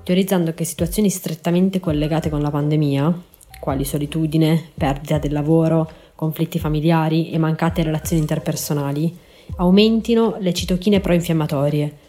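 A woman's voice: low-pitched, fairly even intonation, fairly quick, warm tone. A woman narrating a scripted podcast as host, in an informal, explanatory manner. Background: faint background music.

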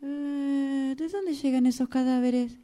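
A woman's voice: high voice, fairly even intonation, takes it slowly, warm tone. A woman reading a gloomy, brooding tramp in an amateur radio play.

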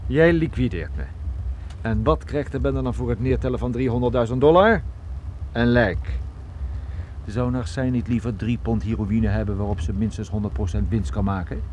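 A man acting a cool, sardonic Irish private detective in a radio drama, needling and unhurried. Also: medium pitch, darkish tone, a little hiss or hum, close to an everyday voice. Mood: defiant.

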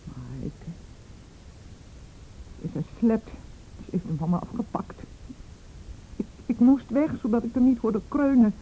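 A woman's voice: fairly high pitch, sing-song, a little slow, pauses often, dark tone, a little hiss or hum, poor audio. An actress in a radio play voicing a distraught, jealous wife and mother, agitated and accusing. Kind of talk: emotional radio play acting.

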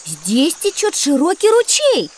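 Very high-pitched curious girl. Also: unhurried, a little hiss or hum, full character voice. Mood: keen. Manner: lively, expressive. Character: curious, teasing young girl, keen traveller. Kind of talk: scripted children's educational audio drama.

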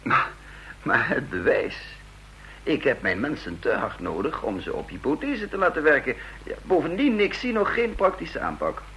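Brief: doubtful intelligence boss in a radio play, higher voice, animated. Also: male voice, slight background noise, exaggerated voice, rough recording. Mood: skeptical. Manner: doubtful, measured. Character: skeptical male intelligence chief. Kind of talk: radio drama acting.

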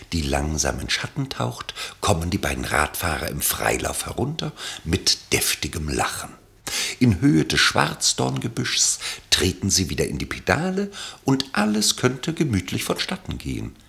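A man narrating a radio play from a literary script in a measured, dryly ironic way. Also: low voice, creaky, bright, forceful tone.

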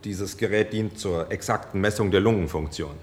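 A man acts out a research doctor in a radio play. The voice is on the low side, the manner soothing and explanatory. A faint noise sits behind the recording. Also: normal speed, darkish tone, close to an everyday voice. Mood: matter-of-fact. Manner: matter-of-fact, soothing, explanatory. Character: calm, reassuring male research doctor. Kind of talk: German radio play acting.

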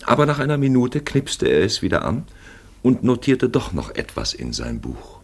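An actor playing a grave third-person storyteller in literary radio-play narration. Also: male voice, medium pitch, wildly swooping pitch, moderate pace, slightly rough, heavily acted. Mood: measured.

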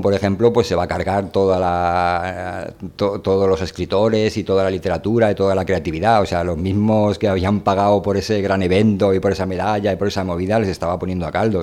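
A man's voice: low voice, a little mellow. A man from hosting a casual, rambling literary radio discussion, hesitantly repeating words as he searches for phrasing. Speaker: radio show host.

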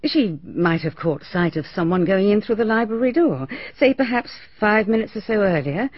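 A brisk, guarded village hostess. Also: female voice, medium pitch, expressive, slightly rough, no room sound. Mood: defensive. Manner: brisk, well-spoken, slightly defensive. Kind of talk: BBC radio drama acting. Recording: dull archival radio recording.

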